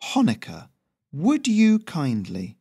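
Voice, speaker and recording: A man's voice: a little high, huge pitch swings, slow, dark tone, breathy edge, voice pushed up, full character voice. A man doing a cocky, chummy goose-riding postman. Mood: breezy. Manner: chummy, teasing and upbeat.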